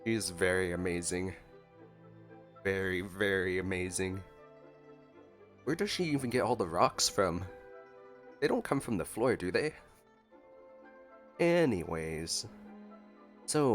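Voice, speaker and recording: A man's voice: low voice, expressive, unhurried, pauses often, soft background music. A man giving casual, playfully joking reaction commentary as a gaming streamer.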